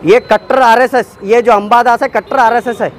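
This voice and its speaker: A very high-pitched man with a Deccani accent delivers a protest statement as an activist spokesman. He is indignant and accusatory, repeating his demands for emphasis. Faint vehicle noise sits behind his voice. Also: fairly even intonation, moderate pace.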